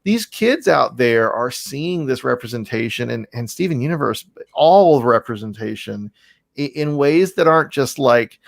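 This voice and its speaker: A man hosting a casual podcast interview, speaking in an effusive, emphatic, rambling way with a creaky voice. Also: medium pitch, animated, normal speed, no room sound, clean studio sound. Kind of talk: casual, enthusiastic interview chat.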